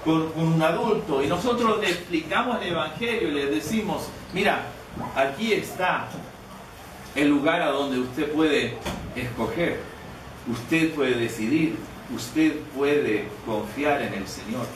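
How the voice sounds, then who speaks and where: A man with a fairly high voice preaches a sermon, telling a personal story in a halting, conversational way. He restarts and repeats words often. The recording is distant and echoey, with clear background noise. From Canada. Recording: echoey, distant, noisy room.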